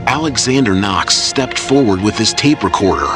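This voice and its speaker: A man's voice: lowish voice, animated, moderate pace. A man narrating an audio drama from a script in dramatic storytelling style. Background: loud music, action sound effects.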